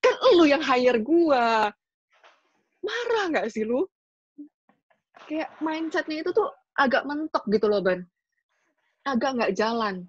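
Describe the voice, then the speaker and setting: A young woman, a guest in a casual podcast chat, telling her stories in an animated, complaining way. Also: high voice, slow pace, long pauses. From Indonesia. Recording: dull dry recording.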